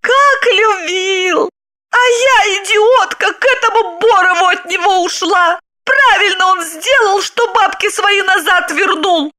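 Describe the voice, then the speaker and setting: A shrieking, brassy wife. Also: female voice, very high voice, expressive, measured pace, pushed and bright, pitched above the performer's own voice, full character voice. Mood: scornful. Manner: shrieking, mocking, cackling. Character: shrill scornful middle-aged wife, brassy. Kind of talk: audiobook character reading.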